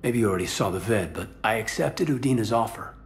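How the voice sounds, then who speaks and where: An actor playing an earnest, soft-spoken male soldier who has just been made an elite agent. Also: fairly low pitch, lively intonation, quick pace. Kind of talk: video game voice acting.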